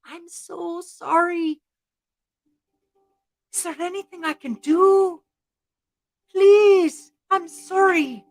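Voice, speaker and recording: A woman voicing a naive, earnest farm youngster in a storybook tale, halting and sheepish. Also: extremely high pitch, steady tone, voice pushed up, full character voice. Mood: pleading, eager.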